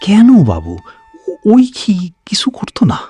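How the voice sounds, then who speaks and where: A man's voice: sits high, huge pitch swings, slow pace, mellow, breathy edge, faint music behind, heavily acted. A man playing a humble, eager village servant in a cartoon, deferential and simple in manner. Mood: eager, curious. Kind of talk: cartoon dialogue.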